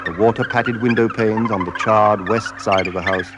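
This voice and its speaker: A man narrating a radio drama in a solemn, measured way, with deliberate dramatic pauses. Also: fairly low pitch, steady tone. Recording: radio drama with music behind. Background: music.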